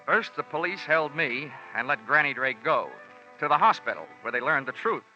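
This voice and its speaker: A man's voice: fairly high pitch, animated, moderate pace, bright, forceful tone, faint music behind. An actor playing a wry, hard-boiled insurance investigator narrating his case in 1940s radio acting. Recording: muffled old radio broadcast.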